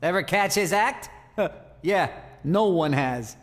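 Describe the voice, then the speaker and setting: A man's voice: high-pitched, animated, slow pace. A male actor playing a jealous, lovesick murder suspect in a noir audio drama, wounded and defiant, talking in streetwise slang. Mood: bitter, defiant. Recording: long reverb, clear music underneath.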